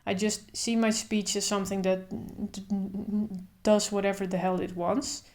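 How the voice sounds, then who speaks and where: Young woman, open stutter, repeated sounds and blocks, slow unhurried pace, flat even pitch, calm reflective vlog talk. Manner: thoughtful, calm, speaks in short phrases with pauses. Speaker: vlogger. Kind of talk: casual talk to camera.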